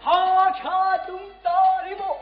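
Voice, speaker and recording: Showy delivery person, played by an actor, slow, deliberate stage comedy delivery, muffled echoey recording. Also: very high-pitched, completely flat delivery. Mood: polite, ceremonious. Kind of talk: amateur comedy stage acting.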